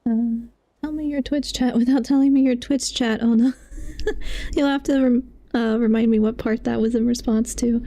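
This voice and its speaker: Dark-toned woman streamer, casual and playful. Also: on the high side, steady tone, normal speed. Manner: giggly, playful. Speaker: live streamer. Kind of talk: casual game stream commentary.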